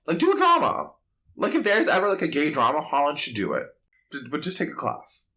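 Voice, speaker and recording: A man's voice: a little high, huge pitch swings, fairly quick, pushed and bright. A man co-hosting a podcast, chatting casually and giving blunt, sassy opinions in slangy speech. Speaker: podcast co-host. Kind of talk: casual opinionated pop culture chat.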